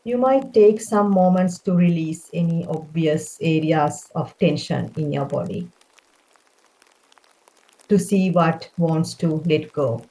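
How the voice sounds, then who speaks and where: A woman leading a guided meditation, speaking in a gentle, calming way with unhurried phrasing. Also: low-pitched, rough recording.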